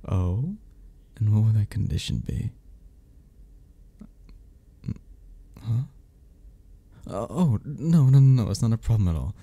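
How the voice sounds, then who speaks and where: A man playing a flirty, gentle cuddle club member in an intimate romantic ASMR roleplay, speaking softly with a breathy, gravelly voice that often drops toward a whisper. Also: on the low side, lively intonation, full character voice. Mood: flirty, teasing. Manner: soft, slow, teasing, with frequent chuckles.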